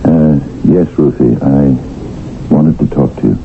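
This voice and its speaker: A man's voice: rumbling low, unhurried, creaky, full character voice. An actor playing a flustered, weak-willed salesman husband in a 1970s radio drama, stammering and blustering defensively. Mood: uneasy, evasive. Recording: tinny, band-limited old radio broadcast, faint noise.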